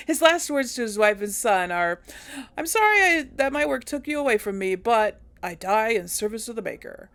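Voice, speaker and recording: A woman's voice: on the high side, big pitch swings, normal speed, a bit bright. A young adult woman giving casual commentary on a game she plays, reacting aloud with laughs and wry remarks. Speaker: let's play host.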